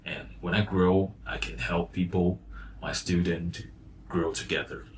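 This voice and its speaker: Man, 30s, from Taiwan, low pitch, relaxed rambling voice-over, light background noise. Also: unhurried. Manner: relaxed, rambling, with fillers and restarts. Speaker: vlogger, freelance designer and coach. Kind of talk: casual reflective voice-over.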